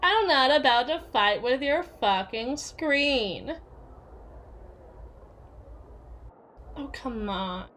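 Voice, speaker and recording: A woman's voice: high-pitched, lively intonation, slow, a bit bright, slight background noise, heavily acted. A woman playing a domineering, possessive, jealous young yandere in a scripted ASMR roleplay, with a sardonic, needling delivery. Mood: demanding, irritated. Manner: sardonic, foul-mouthed, needling, with hesitant trailing repeats.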